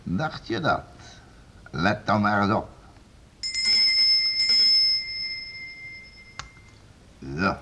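A man's voice: lowish voice, big pitch swings, unhurried, pushed and bright, lo-fi sound, full character voice. An actor playing a stern, domineering nobleman in a period radio play, curt, impatient and commanding. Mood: brusque. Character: stern, domineering nobleman interrogating servants for the crown. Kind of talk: period radio play acting in formal Dutch.

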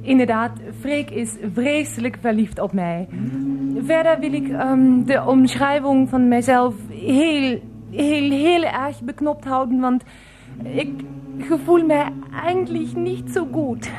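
A woman playing a frail, plaintive mistress in a radio play, halting and whiny, sprinkling in German words. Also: high-pitched. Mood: languid, coy. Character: frail, ailing young mistress, plaintive and languid.

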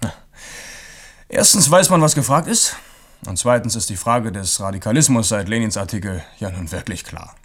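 An actor playing a smug, doctrinaire young film theorist, self-assured and pedantic, in a radio play. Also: male voice, fairly low pitch, expressive, normal speed, fairly bright tone. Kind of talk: German radio drama acting.

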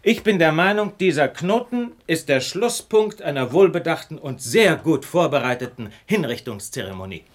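An actor playing a brilliant, superior detective in a German radio play, articulate and lecturing in a theatrical way. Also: male voice, a little high, animated, normal speed, hardly any pauses. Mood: insistent, precise. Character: brilliant, self-assured male detective, precise and superior.

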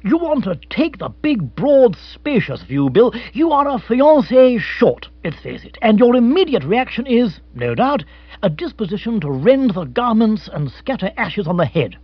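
A man's voice: high voice, very animated, moderate pace, breathy edge, no room sound, dull audio, pitched above the performer's own voice, full character voice. A man voicing a blustering, hearty, tactless upper-class English husband in a storybook, jovial and pompous. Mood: blustering, consoling.

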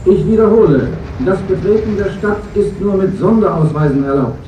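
An actor playing a stern male wartime announcer, loud and commanding over a loudspeaker in a radio drama. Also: sits high, normal speed. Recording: echoing, distant, dull, slightly noisy loudspeaker.

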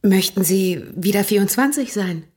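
An actress playing a candid, gently skeptical young married woman in a radio play, speaking in a measured, direct, quietly ironic way. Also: medium pitch, animated, natural voice. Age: young adult.

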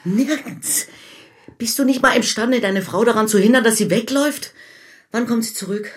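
An actress playing a domineering, sharp-tongued mother-in-law in a radio play, brusque, scornful and interrogating. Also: on the high side, moderate pace, close to an everyday voice. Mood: scornful, angry. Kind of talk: German radio play acting.

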